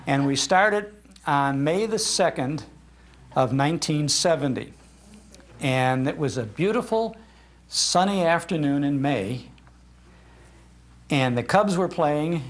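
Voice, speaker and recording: A man's voice: on the high side, lively intonation, slow, pauses often. Older man, folksy radio-host storyteller. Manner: folksy, chatty, anecdotal. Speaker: radio host giving a talk. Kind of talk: informal public talk, storytelling. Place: speaking live in a public library room. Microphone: event microphone.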